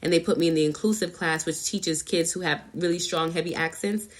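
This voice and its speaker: A middle-aged woman from Trinidad with a light New York accent, talking casually with her daughter in a crisp, carefully enunciated, news-reporter-like way. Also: on the low side, fairly even intonation, fairly quick. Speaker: host, mother of co-host. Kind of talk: casual chat.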